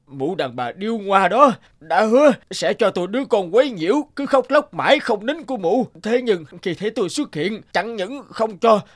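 Theatrical grumbling cartoon wolf, very animated. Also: male voice, high voice, normal speed, hardly any pauses, no echo, studio quality. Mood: aggrieved. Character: gullible, aggrieved male wolf, hungry and scheming. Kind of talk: cartoon voice acting. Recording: loud music behind the voice.

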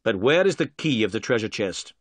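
A man reading a storybook voices a calm, clever male detective who talks in a measured, deliberate way. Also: lowish voice, no echo.

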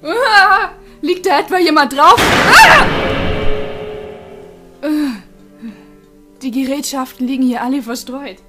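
A woman's voice: high voice, animated, slow pace, pauses often, music in the background. A woman playing an exasperated, sensible teenage girl in a German fan-dubbed anime audio drama. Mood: alarmed. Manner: exasperated, nagging.

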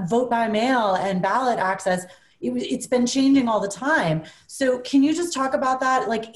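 A woman's voice: mid-range voice, a little mellow. Enthusiastic moderator woman, echoey room. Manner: enthusiastic, rambling questions. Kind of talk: video call panel moderating. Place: home room with bookshelves. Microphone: video call.

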